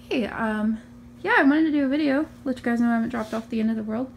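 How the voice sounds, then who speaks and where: A young woman with a raspy morning voice, chatting casually through a tour of her small bedroom, filmed on a handheld phone. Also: fairly high pitch, quick pace, a little hiss or hum. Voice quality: raspy from just waking up. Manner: chatty, upbeat, many ums and likes. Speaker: vlogger. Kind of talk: casual room tour vlog. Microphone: handheld phone camera.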